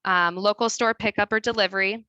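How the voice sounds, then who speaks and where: A woman with a Midwestern accent walks through her slides as a dietitian, chatty and full of fillers. Her voice is bright and crisp, sitting a little low, and the sound is dry and close. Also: fairly even intonation, a little fast, no room sound, lo-fi sound. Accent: Midwestern American. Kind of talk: webinar presentation. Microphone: video call.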